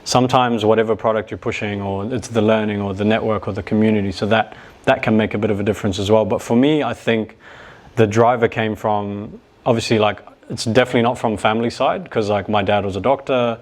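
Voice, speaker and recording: Laid-back young man, lower voice. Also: steady tone, fast talker. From New Zealand. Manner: laid-back, lots of "like" and "yeah". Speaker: guest, e-commerce business owner. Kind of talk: casual interview chat.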